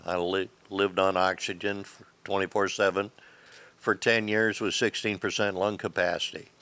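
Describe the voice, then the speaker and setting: A man from Indiana, a patient advocate, giving a halting self-introduction. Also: deep voice, flat delivery, bright, forceful tone, no room sound.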